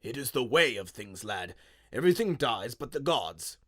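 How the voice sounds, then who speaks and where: A man's voice: medium pitch, big pitch swings, moderate pace, fairly bright tone, dry recording. Curt, embittered elderly uncle acted by a man for a storybook radio play; grudging mutter, cold temper, dry sound. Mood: scornful, grudging. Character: cold, bitter old male inventor, jealous uncle.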